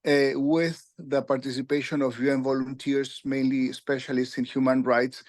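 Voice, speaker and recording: An older man from Peru recounts his career in a halting way, pausing often with fillers and restarting his sentences. His voice sits at an ordinary middle pitch. The sound is dry and close, with no room echo. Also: darkish tone. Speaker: guest, retired UN official. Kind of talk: conversational interview.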